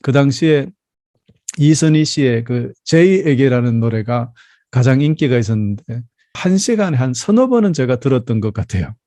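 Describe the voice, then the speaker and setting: A South Korean man in his fifties delivers a sermon with a soft, mellow tone at an average male pitch. He tells stories from his own life in a measured, explanatory manner, recorded close and dry with little room sound. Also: no echo. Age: 50s. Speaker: pastor. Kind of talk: Sunday sermon with personal storytelling.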